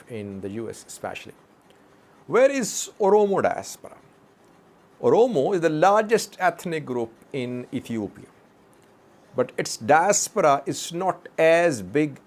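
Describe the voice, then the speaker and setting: A man hosting a news commentary, speaking haltingly and deliberately as he addresses his viewers. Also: mid-range voice, gravelly. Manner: halting, deliberate delivery with rhetorical questions to viewers.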